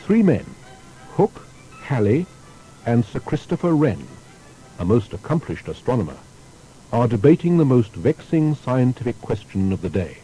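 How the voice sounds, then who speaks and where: A man's voice: mid-range voice, sing-song, normal speed, warm tone, soft background music, poor audio. A man reading a scripted biographical narration in a formal, storytelling manner. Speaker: documentary narrator.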